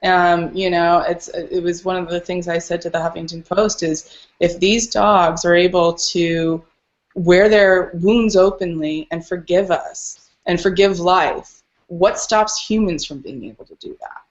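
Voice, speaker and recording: Low-voiced woman telling stories, dull audio. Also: steady tone, measured pace. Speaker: dog rescuer, podcast guest. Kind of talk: casual interview storytelling.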